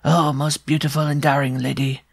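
A tiny, proud French circus dwarf, acted out by a man in a storybook reading. The voice is raised and shrill, with florid, theatrical phrasing and a touchy, lovesick pride. It sounds dry and close. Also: little pitch movement, moderate pace, vocal fry, dry recording. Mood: pleading lovesick. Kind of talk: storybook character voice.

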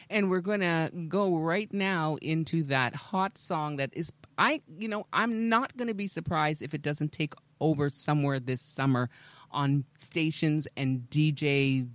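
A woman's voice: low-pitched, dry recording. Chatty radio host woman, dry close mic. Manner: chatty and warm, laughs readily. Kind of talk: casual radio interview.

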